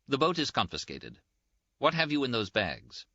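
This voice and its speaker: Man acting a haughty army lieutenant, pitched up, clipped. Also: huge pitch swings, normal speed, bright, forceful tone, dry recording, highs cut off, heavily acted. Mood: stern, officious. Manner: clipped, formal, officious. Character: thin, haughty, formal male army lieutenant. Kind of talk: audiobook character dialogue.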